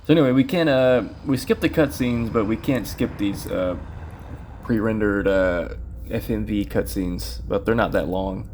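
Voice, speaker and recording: A man casually commentating his own speedrun, explaining routes and bosses in a relaxed, rambling way with plenty of fillers. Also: lowish voice, moderate pace, slight background noise. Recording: game music playing loudly behind. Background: game music.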